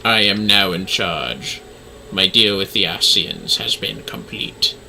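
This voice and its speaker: A man's voice: sits low, takes it slowly, gravelly, pushed and bright, a little hiss or hum, poor audio. A man giving casual livestream commentary on a game, with playful, theatrical asides.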